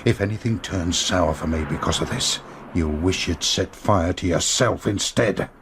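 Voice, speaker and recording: Menacing low-voiced bitter businessman. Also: moderate pace, background noise. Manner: scornful, threatening. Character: bitter, menacing businessman, contemptuous and quick-tempered. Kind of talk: radio drama acting.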